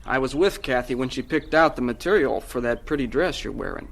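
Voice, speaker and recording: Young farm fella in a radio drama, kind, protective, big brother type. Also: male voice, mid-range voice, lively intonation, fairly quick, creaky, slight background noise. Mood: gentle, concerned.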